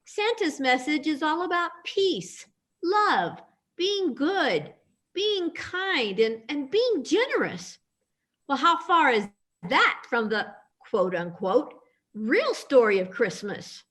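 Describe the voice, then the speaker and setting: Actress as outspoken female friend, emphatic, unhurried, sharp bright tone, amateur radio theatre. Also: high voice, very animated, full character voice. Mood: earnest. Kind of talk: amateur radio-play reading.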